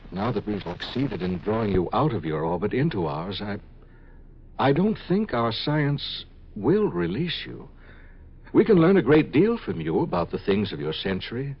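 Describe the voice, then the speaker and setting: A man's voice: on the low side, slightly rough, full character voice. A man acting an earnest, lovestruck scientist of a future century in 1950s radio drama style, ardent and persuasive. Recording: dull 1950s radio recording.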